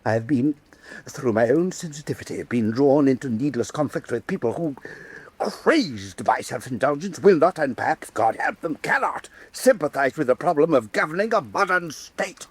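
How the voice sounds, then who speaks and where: An actor playing a fretful, self-pitying, vain ruler in a radio drama, with pompous, theatrical speechifying and a husky voice. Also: male voice, fairly high pitch, lively intonation, moderate pace, no room sound. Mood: aggrieved, self-pitying.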